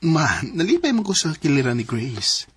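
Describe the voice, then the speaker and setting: Lovesick young cebuano boyfriend, earnest, bit husky, radio drama. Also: male voice, a little high, wildly swooping pitch, normal speed, a bit bright, no room sound, full character voice. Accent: Filipino, Cebuano. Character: devoted young Filipino boyfriend, earnest and lovelorn.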